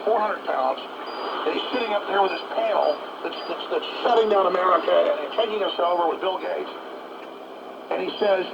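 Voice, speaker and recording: A high-pitched male talk host with a heavy rasp in his voice. He rants indignantly and emphatically about politics. The signal is muffled and buried in loud shortwave noise. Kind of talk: political commentary rant. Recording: noisy muffled shortwave radio broadcast.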